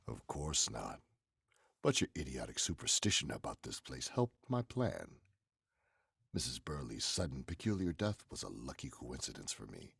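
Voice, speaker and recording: Guy doing a old radio horror murderer, low husky voice, bossy and menacing, swings up and down a lot. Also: big pitch swings, normal speed, no echo, clean studio sound, heavily acted. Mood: cold, menacing. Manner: theatrical, forceful. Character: menacing male murderer, commanding, coldly confessing. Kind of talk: 1940s radio horror acting.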